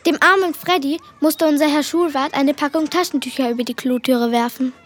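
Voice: high voice, steady tone, fairly quick, fairly bright tone. A child playing an earnest fourth-grade pupil in a children's audio play. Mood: chatty.

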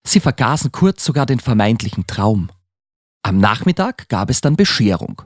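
A man narrating a children's Christmas audio play as a scripted story, with an expressive read-aloud delivery. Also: medium pitch, moderate pace, dry recording, clean studio sound.

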